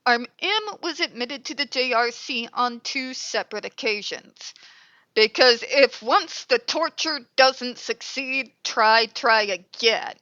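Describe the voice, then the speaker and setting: A woman's voice: fairly high pitch, slow pace, pushed and bright, no echo, muffled recording. Blunt, congested woman on a headset. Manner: blunt, exasperated, swears freely. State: stuffed up, congested. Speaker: commentary channel host. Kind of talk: ranting commentary.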